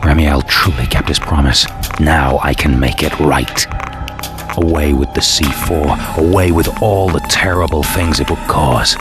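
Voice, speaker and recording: A man playing a gritty, bitter video game antihero with a sardonic, brooding delivery. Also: extremely low pitch. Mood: grim resolve. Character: gritty, bitter, foul-mouthed male mortal antihero seeking redemption. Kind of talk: brooding first-person cutscene narration and dialogue. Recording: dramatic music behind the voice.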